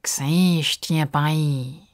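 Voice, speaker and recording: A woman voicing a pompous, grumbly male crocodile who talks in a ponderous, drawn-out way in a children's story. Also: low-pitched, fairly even intonation. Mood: self-important. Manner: ponderous, drawn-out, self-important speech.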